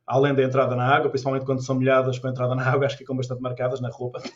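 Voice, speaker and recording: A man's voice: medium pitch, rapid-fire, lo-fi sound, noisy background. A man with a European Portuguese accent, a tour guide chatting as a podcast guest, telling stories with lots of restarts. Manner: animated storyteller with many restarts. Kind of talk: casual interview chat.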